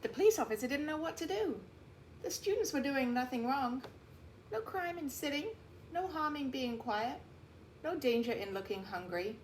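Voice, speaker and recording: A woman reading a picture book aloud like a teacher, with deliberate, emphatic phrasing and explanatory asides, in a roomy recording. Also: high-pitched, expressive, darkish tone. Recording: roomy echo, faint background noise.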